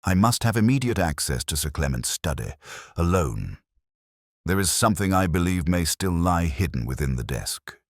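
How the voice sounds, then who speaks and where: A male narrator plays a keen Victorian detective with a very deep voice. He speaks with brisk, precise authority in audiobook dialogue, his intonation lively. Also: no echo, studio quality. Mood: commanding.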